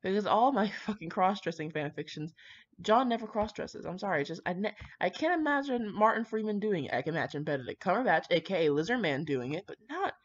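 Woman, lowish lively voice, brash and sweary, casual rambling commentary, slangy, dull lo-fi mic. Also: fairly quick. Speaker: fan fiction reader and commentator. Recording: dull lo-fi microphone.

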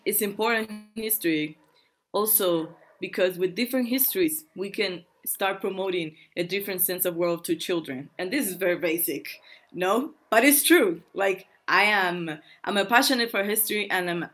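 A woman from Cuba, speaking in an online panel talk, halting and searching for English words. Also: mid-range voice, a bit bright. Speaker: workshop speaker.

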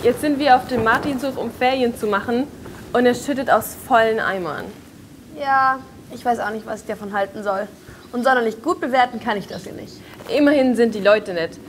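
A woman's voice: on the high side, brisk, lightly acted. A young woman acting a vain, complaining social media influencer in a series, exaggerated and dismissive. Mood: annoyed. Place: inside a horse barn. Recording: noisy barn ambience with birds.

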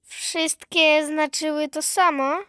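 A woman's voice: sits high, steady tone, takes it slowly, bright, forceful tone, dry recording, clean studio sound, full character voice. A woman voicing a curious, earnest little granddaughter in a children's radio play.

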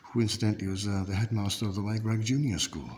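A man casually narrating a walking tour, pausing with "uh" as he points things out, recorded on a handheld phone. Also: lowish voice, steady tone, a little mellow. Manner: unhurried, pauses with "uh" while pointing things out. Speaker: video tour guide. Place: walking through a library and along a high street. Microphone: handheld phone camera. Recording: noisy phone recording with room ambience.

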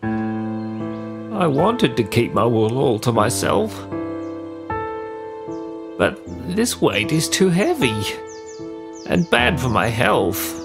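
A man voicing a sad, weary, stubborn male sheep in a storybook, plaintive and drawn-out. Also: mid-range voice, very animated, creaky, music in the background, heavily acted. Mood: weary, forlorn.

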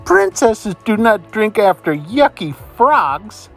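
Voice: mid-range voice, sing-song, takes it slowly, a bit bright, soft background music, voice pushed up, exaggerated voice. A storyteller voicing a rude, spoiled young princess who snaps in disgust in a children's story. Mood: snappy. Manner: snappish, disgusted outbursts. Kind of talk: storybook character voice.

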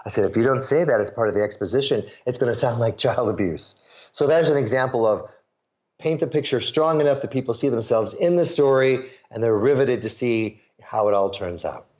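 A man raised near Chicago answers interview questions with lively, well-practiced stories, slipping into other people's voices as he quotes them. His voice sits in a middle pitch, and the recording sounds a little dull and lo-fi. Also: a little fast. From suburbs of Chicago, US Midwest. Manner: animated storyteller, acts out quoted voices. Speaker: guest, sales pitch coach.

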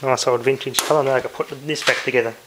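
A man's voice: mid-range voice, steady tone, fast. A man giving casual, off-the-cuff commentary while doing hands-on repair work, muttering to himself as he goes. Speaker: DIY vlogger. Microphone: handheld camera's built-in mic. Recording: noisy handheld camera audio with clicks.

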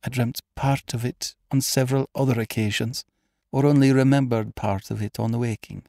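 A man voicing a terrified, haunted aristocratic lady in a tremulous, breathy, dramatic manner for a storybook. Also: mid-range voice, steady tone, moderate pace, dark tone, dry recording, studio quality, voice pushed up, natural voice. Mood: fearful, tremulous.

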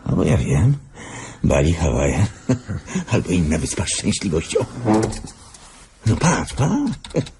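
A sardonic police commissioner in a radio play. His low voice is lively in pitch, dryly mock-polite, heard over clear background noise. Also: takes it slowly, long pauses, exaggerated voice. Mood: amused, sly. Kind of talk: radio drama acting.

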